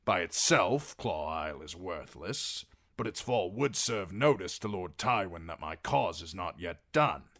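A man voicing a stern, grim fantasy king in an audiobook, measured, curt and authoritative. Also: sits low, lively intonation, fairly bright tone, full character voice. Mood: cold, measured.

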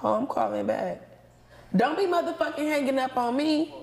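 A woman's voice: high-pitched, animated, normal speed, gravelly. An American woman hosting a casual talk show, brash and playful, with heavy slang and swearing. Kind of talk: casual chat with callers. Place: small studio lounge with couches. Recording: noisy, reverberant studio sound with clicks.

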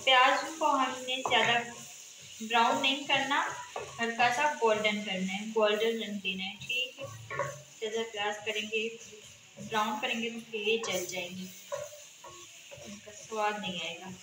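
A woman hosting a home cooking channel, calmly walking through each step of a recipe and often pausing to check in with viewers. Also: on the high side. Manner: calm, instructive, often ends steps with a check-in. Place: home kitchen, indoors. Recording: echoey room with sizzling noise. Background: frying and sizzling from the pan.

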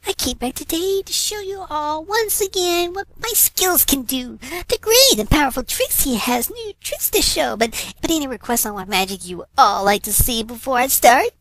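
A man's voice: extremely high pitch, lively intonation, normal speed, hardly any pauses, a bit bright, voice pushed up, full character voice, poor audio. A man voicing a boastful, pompous female pony stage magician in a fan fiction reading. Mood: boastful, showy. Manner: pompous, speaking of herself in the third person. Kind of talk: fan fiction read-aloud character voice.

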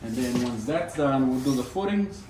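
A man's voice: medium pitch, normal speed. A man hosting a renovation channel, explaining the work step by step in a casual how-to way inside a gutted house. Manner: plain, steady explaining. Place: large gutted house under renovation, indoors. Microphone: camera mic from across the room. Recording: echoey room from far off, loud job-site noise.

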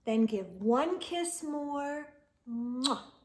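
A woman, about forties, hosting storytime and reading a picture book to young children with expressive, drawn-out emphasis. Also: sits high. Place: indoors, small room lined with bookshelves.

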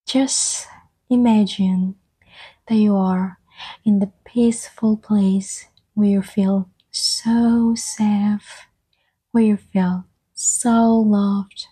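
A soft-spoken woman gently guiding an ASMR meditation, breathy and often slipping toward a whisper, with soothing, unhurried phrasing. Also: medium pitch, steady tone, low-quality recording. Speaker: ASMR guide and host.

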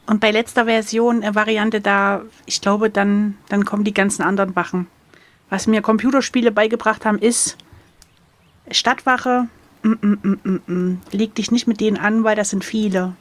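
A woman's voice: medium pitch, steady tone, normal speed. A woman playing an adventure gamebook, chatting casually and thinking aloud in a playful way. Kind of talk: casual chat during a game.

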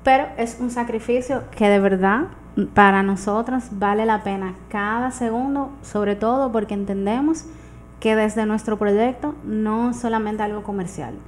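Dominican woman, 30s, radio interview guest, conversational explainer, medium pitch, noisy backdrop. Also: fairly even intonation, normal speed. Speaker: guest entrepreneur. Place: small radio studio, indoors. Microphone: studio microphone on arm. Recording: clear background noise.

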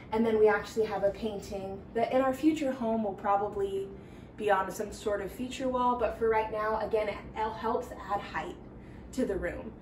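A young woman gives a casual home tour, upbeat and chatty as she walks from room to room. Her voice sits at an ordinary middle pitch and carries a roomy echo, picked up from a few steps away. Faint room ambience hums underneath. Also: a little mellow, slight background noise. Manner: upbeat and chatty, walking while talking. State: pregnant. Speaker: stay-at-home mom giving a home tour. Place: small home with kitchen, nursery and bathroom. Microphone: camera a few steps away. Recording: roomy, slightly distant sound, faint ambience.

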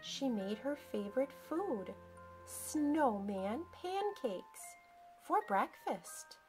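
A woman storyteller reading a children's picture book aloud, with expressive, deliberate emphasis for young listeners. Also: sits high, faint music behind.

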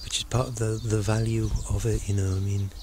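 Halting, low-voiced man musing outdoors. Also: level tone. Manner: halting, searching for words, musing. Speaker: vlogger. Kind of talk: rambling reflective monologue to camera. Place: outdoors in open countryside. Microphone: handheld camera at arm's length. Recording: noisy outdoor camera recording. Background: birds and outdoor noise.

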